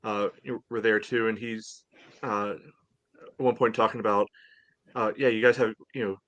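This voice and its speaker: A man co-hosting a sports fan podcast in casual chat, with a dry, teasing manner and halting storytelling. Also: fairly low pitch, steady tone, a bit bright, no room sound, dull audio. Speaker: podcast co-host.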